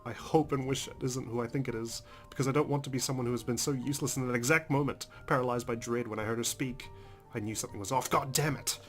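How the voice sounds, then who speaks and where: A man's voice: medium pitch, steady tone, quick pace, hardly any pauses, fairly bright tone. A man hosting a let's play, reading visual novel narration aloud dramatically with casual, emotionally involved comments. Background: game music.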